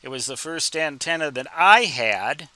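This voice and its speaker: Bright, crisp-edged man in his sixties, unhurried. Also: a little high, animated, no echo. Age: 60s. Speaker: instructor and ham radio hobbyist. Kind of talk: informal explanatory tutorial.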